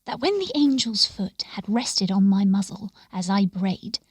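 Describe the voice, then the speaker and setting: A woman narrates a story at a slow, deliberate pace. Her delivery is expressive and measured, often softening into a hush at the ends of phrases. The recording is close and dry. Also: medium pitch, husky, no echo. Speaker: story reader.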